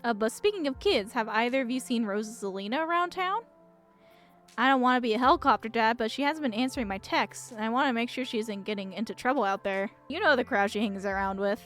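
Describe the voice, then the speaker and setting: A woman's voice: on the high side, fast talker, a bit bright, close to an everyday voice. A woman voicing a protective, worried father of a teenager in a visual novel. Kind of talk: visual novel character reading. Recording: music behind the voice.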